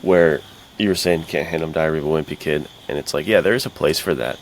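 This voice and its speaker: Extremely deep-voiced man in earnest chat. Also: quick pace, slight background noise. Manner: earnest, informal. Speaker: podcast co-host. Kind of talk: casual podcast chat.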